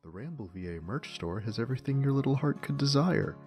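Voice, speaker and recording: A man's voice: medium pitch, expressive, a little fast, a little mellow, soft background music. A man who voice-acts, delivering a short promotional plug to the audience. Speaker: voice actor promoting own merch.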